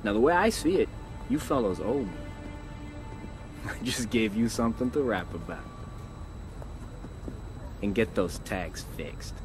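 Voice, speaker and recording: A crooked detective in a video game, smug and menacing. His voice is fairly low and swaggering, taunting, with music clearly heard behind it. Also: expressive, measured pace, long pauses. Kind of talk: video game cutscene acting.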